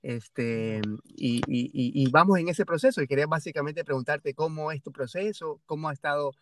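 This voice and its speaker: A man's voice: fairly high pitch, moderate pace, a little hiss or hum. Hesitant man with a Guayaquil accent. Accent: Guayaquil Ecuadorian Spanish. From Ecuador. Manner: hesitant, many fillers and restarts, tag questions. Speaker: podcast host. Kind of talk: casual conversational interview.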